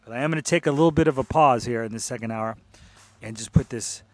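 A man's voice: medium pitch, fast, no room sound. A male radio DJ with a mid-range voice, recorded dry and close. He chats casually between tracks, rambling loosely and playfully, often circling back to repeat a word or phrase. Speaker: radio DJ host.

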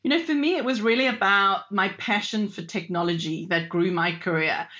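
A woman's voice: mid-range voice, normal speed, lo-fi sound. Enthusiastic South African woman panelist. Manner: enthusiastic, animated storytelling. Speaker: panelist, tech industry leader. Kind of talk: panel discussion.

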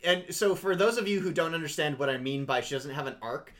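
A young man co-hosting a casual podcast, rambling through an animated critique with lots of fillers. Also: on the high side, brisk. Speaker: podcast co-host. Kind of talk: casual anime review chat.

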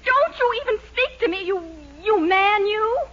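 An American actress playing an indignant, sharp-tongued young woman in a 1940s radio sitcom. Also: very high voice, moderate pace. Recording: muffled 1940s radio recording.

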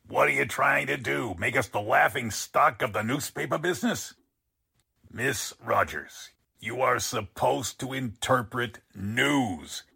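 An actor playing a sarcastic, blustering newspaper editor in a 1940s-style radio drama, with a husky voice. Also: male voice, medium pitch, animated, measured pace, sharp tone, exaggerated voice. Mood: exasperated, scolding. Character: exasperated, sarcastic male newspaper editor boss.

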